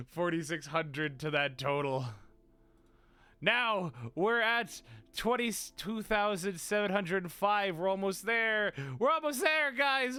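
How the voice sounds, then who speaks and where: A young adult man hosting a casual livestream, tired and exhausted, rambling in a playful, self-mocking way, his voice deeper than usual today. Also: pushed and bright, clear, resonant tone. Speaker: stream host.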